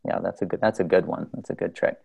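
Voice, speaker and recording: Low, gravelly video call host. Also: fairly even intonation, quick pace, dark tone. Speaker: host of an online group call. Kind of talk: casual meeting facilitation, guiding introductions.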